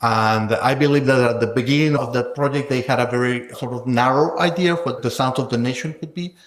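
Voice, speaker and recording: A man's voice: medium pitch, brisk. A man, a guest scholar, talking through ideas in an academic interview, thinking aloud and often ending points with a questioning "no?". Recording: roomy echo.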